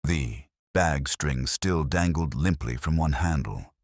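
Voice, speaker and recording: Very deep man narrating a mystery. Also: slow pace, no room sound, clean studio sound. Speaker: narrator reading a mystery story. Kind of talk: scripted narration of a genteel comic mystery.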